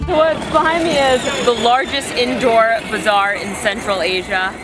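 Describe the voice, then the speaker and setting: A woman's voice: a little high, fairly even intonation, measured pace, poor audio. A young adult woman, chatting casually as a travel vlogger into a handheld camera. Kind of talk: casual travel vlog chat. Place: outdoor city sites and large busy bazaar. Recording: loud music and crowd behind.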